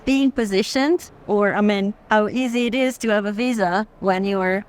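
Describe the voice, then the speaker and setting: A woman's voice: medium pitch, moderate pace. Woman thoughtfully explaining art on a walk. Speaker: art curator guiding a walk through a fair. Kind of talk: unscripted walking conversation about art. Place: busy art fair hall, walking.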